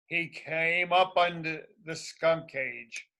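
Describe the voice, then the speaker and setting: A man reads a children's story aloud with deliberate emphasis. His voice is bright and fairly high, and he takes his time, speaking expressively for young listeners. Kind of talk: read-aloud story for schoolchildren.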